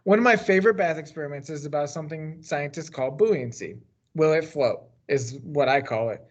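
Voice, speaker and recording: Higher-pitched American man reading aloud, slightly muffled. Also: a little fast. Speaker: teacher reading a book aloud. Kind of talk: read-aloud storytelling of a children's novel. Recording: dull, lo-fi sound.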